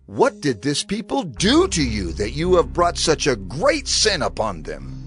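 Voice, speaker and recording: Casting: stern, impassioned biblical leader, slow emphatic delivery with huge swings of pitch, over music. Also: male voice, huge pitch swings. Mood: angry, commanding. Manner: intense, declamatory, emphatic. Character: Moses, impassioned male Hebrew leader, stern yet pleading. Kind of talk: dramatized scripture audio drama. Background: cinematic music.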